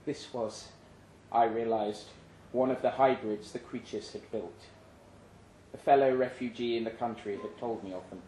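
A man's voice: medium pitch, steady tone, dark tone. Man reading a story in an echoey room. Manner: measured, suspenseful delivery with deliberate pauses. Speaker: story reader. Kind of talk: dramatic literary narration, read aloud live. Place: indoor live reading event. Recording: roomy live venue, faint background noise.

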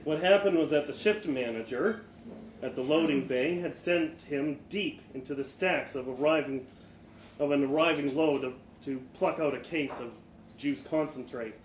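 A man with a slightly high voice reads fiction aloud at a live event. His delivery is expressive, with dramatic pauses and small stumbles. The room echoes noticeably and there is faint noise behind him. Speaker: story reader. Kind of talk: live dramatic short-story reading, first person.

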